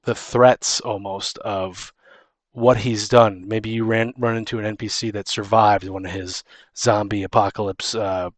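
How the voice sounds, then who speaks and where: A man's voice: lowish voice, fairly even intonation, a little slow, no room sound. A man hosting a casual tabletop gaming chat, rambling and restarting his phrases as he gives advice as a dungeon master. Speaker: podcast host and dungeon master.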